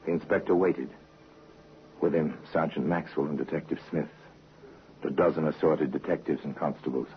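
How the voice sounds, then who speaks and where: A man narrating a crime story in a grave, deliberate, suspenseful manner, as host of a vintage radio show. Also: rumbling low, fairly even intonation. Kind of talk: scripted dramatic narration. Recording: hissy muffled vintage radio recording.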